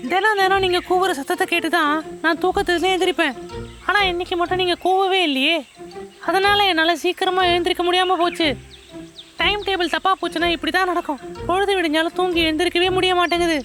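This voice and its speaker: A woman's voice: very high voice, fairly even intonation, quick pace. A woman voicing a small, naive, chatty cartoon chicken with a childlike manner. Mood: sleepy grumbling. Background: loud music.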